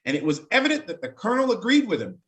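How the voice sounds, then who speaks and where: Animated, higher-pitched man reading a story aloud. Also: rapid-fire. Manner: expressive, wry, breaking off to comment. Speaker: narrator in a staged reading. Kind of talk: dramatic reading aloud with casual asides.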